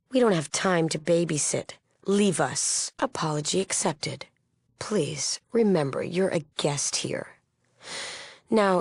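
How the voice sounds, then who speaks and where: A principled woman leading a peace movement in a post-apocalyptic game. Her voice is lowish with a gravelly creak, recorded very dry. She sounds earnest, formal and firm. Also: no echo, full character voice. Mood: curt, irritated. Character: earnest, resolute female leader of wasteland peace movement. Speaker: game character. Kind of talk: scripted video game dialogue.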